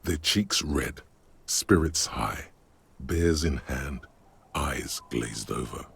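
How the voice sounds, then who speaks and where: A man voicing a brooding inner narrator of a detective's mind in a scripted game, gravelly and husky, measured and ominous. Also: extremely low pitch, lively intonation, fairly bright tone, exaggerated voice.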